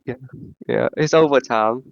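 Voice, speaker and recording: A man with a sore throat in a casual group voice chat, rambling and halting, full of fillers. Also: mid-range voice, vocal fry, dry recording, lo-fi sound. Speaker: discussion participant.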